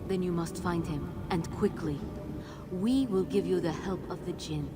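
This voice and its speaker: A woman's voice: fairly low pitch, normal speed, very noisy, close to an everyday voice. An actress playing an ancient, regal jinn queen in a video game, speaking in a stately, solemn way. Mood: commanding, urgent. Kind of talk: video game cutscene acting. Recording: prominent game music behind the voice. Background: cinematic music.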